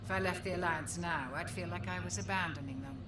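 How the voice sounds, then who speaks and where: An actress playing a kindly, wistful military ship's doctor in a video game, speaking in a thoughtful, reflective way. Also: female voice, on the low side, fast, noisy background, close to an everyday voice. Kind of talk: scripted video game dialogue. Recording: roomy, distant game audio under music.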